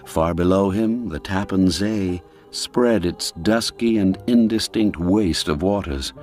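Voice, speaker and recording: A man narrating a literary tale in a theatrical, expressive and unhurried storytelling manner. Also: fairly low pitch.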